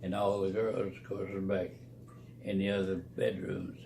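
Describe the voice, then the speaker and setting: A man, talking casually to family in a halting, rambling, folksy and playful way. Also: sits low, monotone, creaky, a little mellow, slight background noise. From Oklahoma, United States. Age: 90s. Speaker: retired preacher, grandfather of the vlogger.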